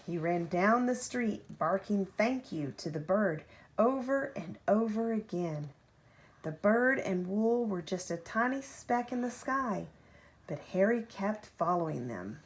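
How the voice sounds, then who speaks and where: A woman reading a children's picture book aloud like a parent at bedtime, with expressive, deliberate phrasing and drawn-out key words. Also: mid-range voice. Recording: home recording with faint background noise.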